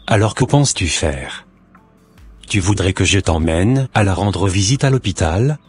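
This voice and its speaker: A man voicing a calm, reassuring believer. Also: low-pitched, a little slow, slightly rough, faint music behind, full character voice. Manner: reassuring, sermon-like.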